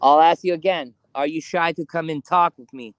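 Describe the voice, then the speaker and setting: A man hosting a live ghost hunt in a cemetery at night, speaking haltingly with many fillers as he calls out to spirits. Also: fairly high pitch, steady tone, clean tone, no echo. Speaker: ghost hunter streaming live. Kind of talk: live paranormal investigation stream.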